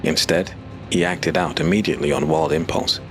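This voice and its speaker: A man narrating a dark fantasy audio drama in a measured, steady storytelling voice. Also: very deep voice. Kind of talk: scripted narration. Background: music.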